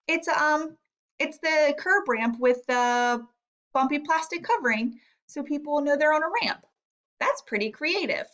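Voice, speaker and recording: A woman's voice: high-pitched, fairly bright tone. A woman teacher giving a read-aloud lesson to young children, thinking aloud and guiding them with questions. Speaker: elementary reading teacher.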